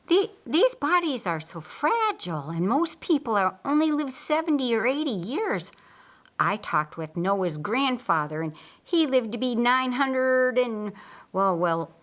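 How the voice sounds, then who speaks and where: A woman voicing a curious, musing man in an audiobook Bible story, with halting, earnest questioning. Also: fairly high pitch, a bit bright, voice pushed up.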